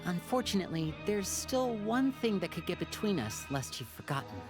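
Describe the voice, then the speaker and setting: An actor playing a composed, watchful young man in a video game, speaking politely and deliberately, with music behind. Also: high-pitched, sing-song. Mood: courteous, earnest. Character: composed, courteous, watchful young man, protective older brother.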